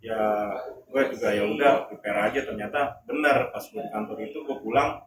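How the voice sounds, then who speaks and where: A man's voice: fairly low pitch, a little fast. A man with a Jakarta slang accent, a guest in a casual chat, telling his story in a plain, matter-of-fact way. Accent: Jakarta Indonesian slang. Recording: echoey room, mic far off, with noise behind.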